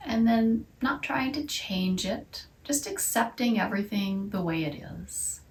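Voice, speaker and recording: A woman leads a meditation in a slow, unhurried voice, soft and soothing, and at times she drops into a whisper. She sounds set back from the mic, and her voice rings in an echoey room. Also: medium pitch, expressive, recorded from a distance. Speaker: yoga and meditation teacher. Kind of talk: guided meditation.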